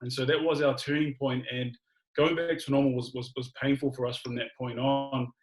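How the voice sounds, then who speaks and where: A man's voice: medium pitch, flat delivery, normal speed, poor audio. A man giving a conversational personal testimonial, addressing listeners directly and often repeating phrases. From Australia. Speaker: guest speaker telling personal story.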